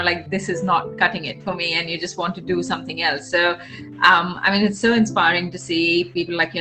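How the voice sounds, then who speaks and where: A woman's voice: lowish voice, rough recording. A woman co-hosting a casual chat in an enthusiastic, encouraging way. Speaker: co-host. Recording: clear music behind.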